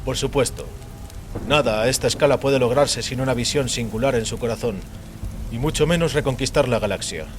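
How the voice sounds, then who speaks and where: A man voicing a calm, imperious warrior emperor in dramatized audio fiction, solemn, commanding and utterly self-assured. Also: medium pitch, fairly quick, pitched above the performer's own voice, lightly acted. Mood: grand ambition. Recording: clearly noisy, rain behind voice. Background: rain.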